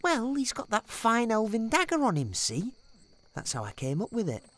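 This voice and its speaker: A woman voicing a scrappy, excitable, reluctant teenage boy student in a fantasy audio drama. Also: fairly high pitch, normal speed.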